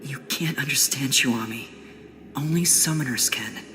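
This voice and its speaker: A man playing an earnest, solemn young summoner in an audio drama, hushed, breathy and grave. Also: mid-range voice, a little slow. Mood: resigned, solemn. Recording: long hall reverb, faint noise.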